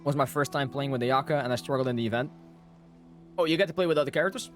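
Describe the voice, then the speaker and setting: A man's voice: medium pitch, fast. A man livestreaming a game, chatting casually as he plays. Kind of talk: casual chat while playing. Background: music.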